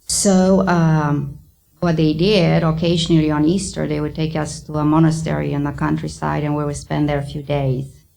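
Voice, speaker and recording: A middle-aged woman with a Romanian accent giving a thoughtful public lecture, often pausing with ums. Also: sits low, flat delivery, vocal fry, dark tone. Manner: thoughtful, hesitant with many ums. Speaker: psychiatrist giving a talk.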